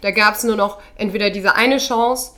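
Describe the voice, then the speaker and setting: A woman chatting casually as a podcast co-host, teasing her partner with quick questions and then giving thoughtful replies. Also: mid-range voice, fairly even intonation, pushed and bright. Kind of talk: casual chat.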